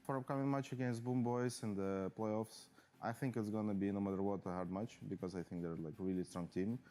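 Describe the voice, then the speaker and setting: A man, a professional player, giving a halting interview full of fillers and restarts. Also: on the low side, fairly even intonation, mellow, faint music behind. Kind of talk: post-match player interview.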